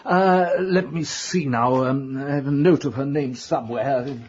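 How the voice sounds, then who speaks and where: A man's voice: mid-range voice, a little slow, darkish tone, a little hiss or hum, natural voice. A man playing a fussy, evasive small-town British letting agent in a radio drama, hesitant, rambling and eager to please. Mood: fussy, obliging. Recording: dull lo-fi radio drama recording.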